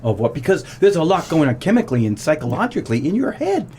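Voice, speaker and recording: A man's voice: on the high side, very animated, quick pace, darkish tone, a little hiss or hum. A man hosting a radio show in a casual, conversational way. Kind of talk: casual chat.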